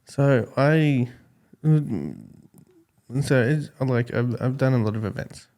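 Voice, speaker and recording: An Australian man in his forties with a calm, smooth voice, chatting casually as an interview guest and thinking aloud in a halting way. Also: mid-range voice. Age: 40s. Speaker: podcast guest, skateboarding judge.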